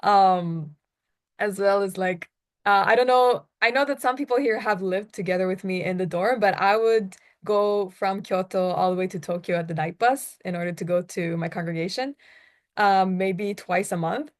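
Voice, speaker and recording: A young woman from the United States, moderating a casual group discussion in a chatty way with quick asides. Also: medium pitch.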